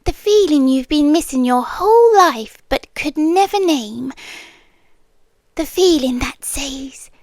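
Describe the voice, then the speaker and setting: A woman's voice: high voice, lively intonation, darkish tone, no room sound, studio quality, full character voice. A British woman voicing an ancient, possessive siren captor, sweetly menacing, in an intimate roleplay ASMR monologue that often drops toward a whisper. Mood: dreamy, possessive. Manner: slow, coaxing, often dropping into whispers.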